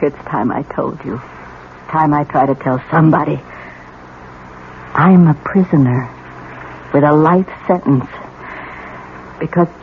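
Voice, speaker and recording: An actress playing a bitter, lonely middle-aged wife and mother in a theatrical, halting 1970s radio drama style. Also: low voice. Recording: tinny, noisy old radio broadcast.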